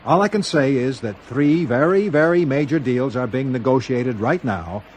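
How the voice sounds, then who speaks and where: A man's voice: medium pitch, expressive, moderate pace, darkish tone, a little hiss or hum, natural voice. An actor playing a harried, pompous aircraft company spokesman in a radio drama. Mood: pompous, promotional.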